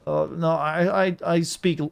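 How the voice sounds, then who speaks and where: A man's voice: on the high side, fairly even intonation, measured pace, gravelly, smooth. A man from the southern United States with an American accent, giving casual, rambling live gameplay commentary with jokey, self-deprecating asides to viewers. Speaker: game streamer.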